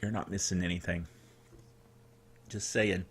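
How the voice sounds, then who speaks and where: A man hosting a casual tech news show in a chatty, jokey, digressive way, with a throat that is getting rough. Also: low-pitched, steady tone, measured pace, fairly bright tone, a little hiss or hum. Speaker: technical director for radio stations. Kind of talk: casual scripted tech news commentary with asides.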